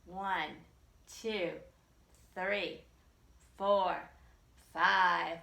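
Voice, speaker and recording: A woman's voice: on the low side, fairly even intonation, drawn-out words, creaky, bright, forceful tone. A woman librarian leading a children's storytime, reading and reciting rhymes expressively in simple phrases for small children. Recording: echoey room.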